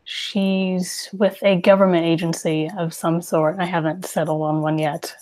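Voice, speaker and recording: A woman talks slowly as a player in a tabletop game. She sounds tentative, thinking aloud in casual chat with long, unhurried phrasing. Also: on the low side, flat delivery, a little mellow. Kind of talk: casual character introduction and chat.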